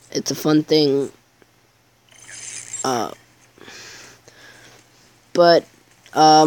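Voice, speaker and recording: Slow, rambling teenage boy gamer. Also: fairly high pitch. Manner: rambling, hesitant, many fillers. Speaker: gaming YouTuber. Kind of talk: casual gameplay commentary.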